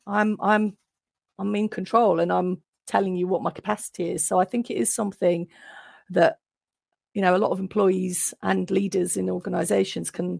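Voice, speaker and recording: A woman speaking as an interview guest with a gravelly edge of vocal fry. She talks in a thoughtful, reflective way, repeating words as she works toward each point. The sound is close and dry. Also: mid-range voice, a little mellow, no room sound. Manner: thoughtful, repeats and restarts while building points. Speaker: guest, occupational psychologist.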